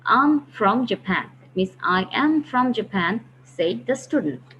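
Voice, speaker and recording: A woman teaching a grammar lesson, patiently explaining step by step and slowing down to read example sentences. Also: on the high side, expressive, a bit bright, dull audio, a little hiss or hum. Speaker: English teacher.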